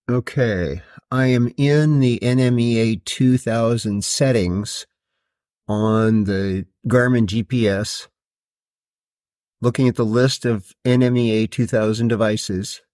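A man's voice: medium pitch, darkish tone. Matter-of-fact man explaining a DIY install. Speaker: host of a DIY boat install video. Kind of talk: hands-on how-to narration.

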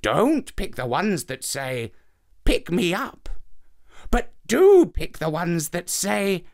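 A man voicing a calm, patient, mysterious old woman in a children's story, speaking with a lilt. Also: high-pitched, gravelly, pitched above the performer's own voice, full character voice. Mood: patient, instructing. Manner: slow, lilting, patient.

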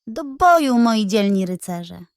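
A woman's voice: mid-range voice, very animated, slow, clean tone, dry recording, clean studio sound. A woman voicing a proud warrior king in a children's storybook. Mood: commanding.

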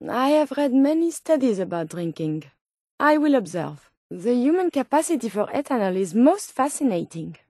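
A woman's voice: fairly high pitch, very animated, normal speed, darkish tone, no echo, studio quality. A woman voicing a polite, curious female robot scientist in a video game, with a French accent and formal, precise, clinical phrasing. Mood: helpful, eager. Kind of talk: video game companion dialogue lines.

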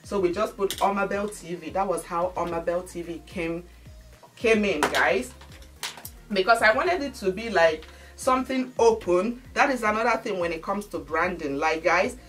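A woman's voice: fairly low pitch, moderate pace, a bit bright, recorded from a distance, soft background music. A woman in her thirties from Nigeria, speaking with a Nigerian accent, chatting animatedly to camera in a home studio and calling her viewers guys. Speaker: beauty and lifestyle vlogger. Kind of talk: casual chat to camera. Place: bright indoor home studio.

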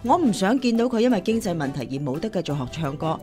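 A caring, firm singing teacher played by a woman in a Cantonese radio drama, Hong Kong accent. Her intonation is very lively and she speaks earnestly, over faint music. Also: mid-range voice, quick pace, natural voice. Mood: defensive. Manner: earnest, admonishing.

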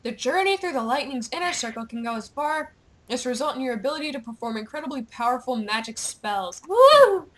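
A young woman gamer with a playful, excitable, teasing manner, chatting over gameplay and reading game dialogue aloud. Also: sits high, fairly quick. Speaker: gamer, video host.

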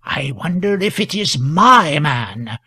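A man's voice: on the high side, sing-song, takes it slowly, pushed and bright, husky, full character voice. A man voicing a grim, formidable hangman in a storybook reading, giving peremptory orders in thick tones. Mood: musing. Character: grim, sinister, commanding hangman gentleman in grey.

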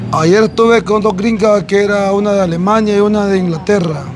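A man's voice: sits high, steady tone, brisk, music in the background. A young man with a Salvadoran accent talking in a rambling, emphatic, slangy way in a casual street interview. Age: young adult. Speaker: interview guest, former footballer living on the street. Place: outdoors on a city street at night. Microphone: clip-on lavalier. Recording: noisy street with passing traffic.

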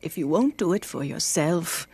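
An actress playing a kindly but insistent old mother-in-law in an animated Bible story, coaxing firmly. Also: lowish voice, normal speed, natural voice. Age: older. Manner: coaxing, firm.

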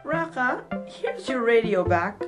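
A woman's voice: fairly high pitch, sing-song, takes it slowly, over loud music. A woman playing a sheepish, apologetic little brother in a children's audio story, hesitant and childlike.

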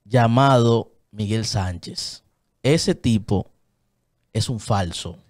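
A man's voice: fairly low pitch, slow, darkish tone. A man in his thirties with a Dominican Spanish accent, talking earnestly as a guest in a small padded radio studio into a desk microphone. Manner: earnest, gestures a lot while talking. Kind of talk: casual studio chat. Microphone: studio mic on a desk stand, headphones on.

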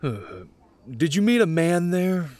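Actor as a scoffing, wildly swooping tavern sailor. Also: male voice, a little high, big pitch swings, takes it slowly, a little mellow, dry recording. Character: scoffing, evasive male tavern sea dweller. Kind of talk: fantasy audio drama acting.

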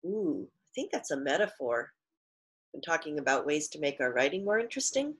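Middle-aged female teacher for picture-book read-aloud; lowish, breathy-husky voice, expressive and explanatory delivery. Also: a little fast. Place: small living room at home, indoors.

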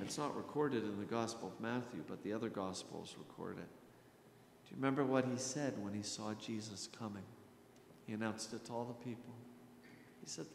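A middle-aged American man preaching a sermon as a Lutheran pastor, with emphatic delivery and deliberate pauses. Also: mid-range voice, creaky. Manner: emphatic, dramatic pauses and repetition, often dropping to a hush. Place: church sanctuary at a pulpit. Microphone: gooseneck pulpit microphone. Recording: echoey church hall with background hiss.

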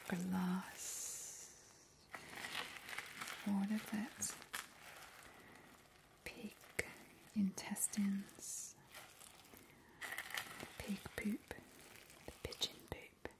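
Girl doing a sly lady vampire for asmr, whispery and really slow, teasing the mortals. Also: medium pitch, level tone, gravelly. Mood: ghoulish. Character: sly, macabre immortal female vampire scorning mortals. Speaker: ASMR host. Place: indoor kitchen.

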